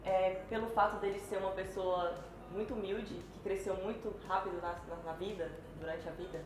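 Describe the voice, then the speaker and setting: A woman in her twenties with a Brazilian accent answers as a pageant contestant. Her pitch is average, and the room is very reverberant with clear noise. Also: steady tone, quick pace. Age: 20s. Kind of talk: live question and answer. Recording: very echoey, noisy room.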